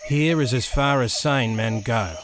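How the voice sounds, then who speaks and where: A man's voice: medium pitch, unhurried, a little hiss or hum, close to an everyday voice. Man playing a terse, ominous jungle guide. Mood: ominous, mercenary. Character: wary, mercenary male jungle guide. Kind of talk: old-time radio drama acting. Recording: faint jungle sound effects behind.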